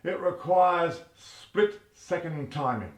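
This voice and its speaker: A man's voice: a little high, animated, takes it slowly. A man playing an officious, self-important radio stage manager who nags and fusses over his cast in a comedy. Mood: fussy, officious. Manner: officious, nagging. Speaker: radio producer. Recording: roomy echo.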